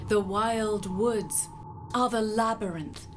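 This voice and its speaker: A woman narrating a medieval legend as a scripted story, with dramatic, literary, measured phrasing. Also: medium pitch, a little hiss or hum.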